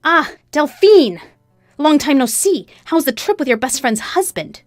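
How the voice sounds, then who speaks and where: A woman voicing an indignant, scornful betrayed wife in a narrated story, sarcastic and forceful. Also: sits high, very animated, moderate pace, no room sound, clean studio sound, faint music behind, voice pushed up.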